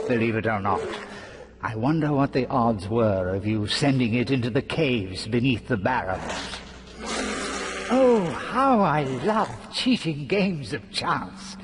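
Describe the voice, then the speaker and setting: An actor playing a gleeful, taunting trickster villain in a video game, with mocking, theatrical relish. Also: male voice, medium pitch, sing-song, unhurried, background noise. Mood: boastful. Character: gleeful, taunting male trickster schemer holding court.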